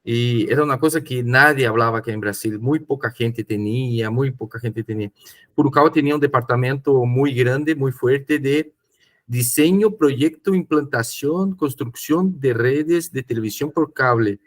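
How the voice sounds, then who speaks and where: A man's voice: mid-range voice, normal speed. A man from Chile who grew up in Brazil, telling his career story in a casual podcast chat, rambling and repeating words. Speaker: podcast host.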